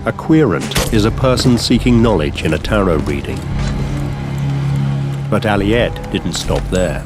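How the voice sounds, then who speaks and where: Low-voiced male documentary narrator over loud music. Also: lively intonation, a little slow. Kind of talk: scripted narration.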